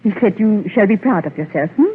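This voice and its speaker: A woman's voice: mid-range voice, expressive, a little fast, close to an everyday voice. An actress voices a fond baker's wife in a 1940s radio play, coaxing with eager questions. The recording is narrow and muffled, with some noise behind her. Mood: coaxing, proud. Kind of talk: 1940s radio drama acting.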